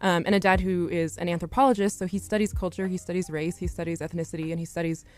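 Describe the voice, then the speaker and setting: Young female student guest, somewhat low voice, reflective and articulate conversational storytelling. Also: steady tone, fast talker, darkish tone. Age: young adult.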